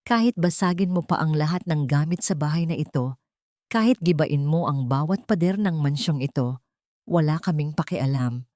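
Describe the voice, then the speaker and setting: A woman narrator plays a caring older brother in a story drama. He is protective and earnest, with sorrow under his words. The voice is low and dark, in a dry recording. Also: normal speed, no room sound, lightly acted. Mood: gentle sorrow.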